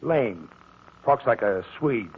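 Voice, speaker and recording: An actor playing an anxious, worn-out young sailor on the run in a 1940s radio drama. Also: male voice, mid-range voice, lively intonation, takes it slowly. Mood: anxious, urgent. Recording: tinny old radio recording, faint noise.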